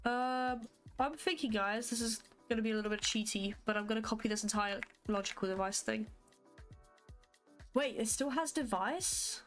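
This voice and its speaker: Chatty young woman, fairly high voice. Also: normal speed, fairly bright tone, faint music behind. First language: not English. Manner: rambling, many fillers, thinks aloud. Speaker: livestreamer coding a graphics engine. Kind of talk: casual live-coding chat with viewers.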